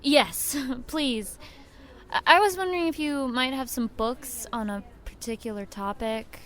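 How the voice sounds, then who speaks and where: An actress playing an earnest, stubborn, curious young woman in an audio drama, speaking with quiet determination. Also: on the high side, unhurried. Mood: polite, cautious. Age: young adult.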